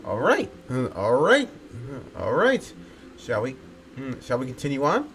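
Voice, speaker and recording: A man's voice: medium pitch, wildly swooping pitch, normal speed, a little hiss or hum. A man giving casual, playful and mock-dramatic commentary on his own video game playthrough. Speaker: gamer narrating own playthrough. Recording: loud game audio and music behind. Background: game music and sound effects.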